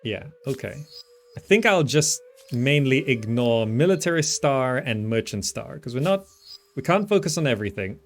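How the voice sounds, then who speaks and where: A man's voice: medium pitch, expressive, moderate pace. A man giving casual gameplay commentary, thinking aloud and often trailing off as he muses to himself. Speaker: gaming video host. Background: background game music.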